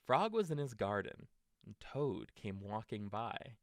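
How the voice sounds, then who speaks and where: Male storytime reader, bedtime narration for little ones, very slow delivery, close dry sound. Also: on the low side, very animated, dry recording.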